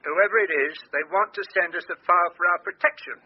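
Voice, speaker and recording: A man's voice: sits high, fairly even intonation, fast, lightly acted. An actor playing a bemused older father, hesitant and puzzled, in a naturalistic radio drama. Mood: puzzled relaying. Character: bemused older father of an adult detective daughter. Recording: dull, telephone-like sound with faint noise.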